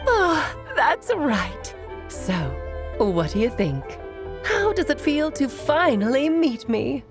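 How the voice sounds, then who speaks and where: Vain mischievous sorceress, highish voice, somewhat lively intonation, teasing, breezy, theatrical, video game acting. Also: female voice, takes it slowly, over music, full character voice. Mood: delighted. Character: playful, self-admiring great mage, glamorous mischievous mother.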